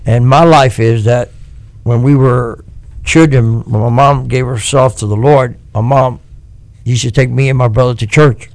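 Gravelly middle-aged man. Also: medium pitch, steady tone, measured pace, darkish tone, no echo. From Cuba and the United States. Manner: earnest, quotes scripture. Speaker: guest giving religious testimony. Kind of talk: personal testimony on a radio show.

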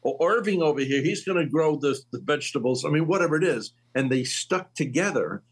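A man in his late sixties hosting a casual faith conversation, telling stories with lively swings in his voice. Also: a little high, brisk, husky. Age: 60s. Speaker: host.

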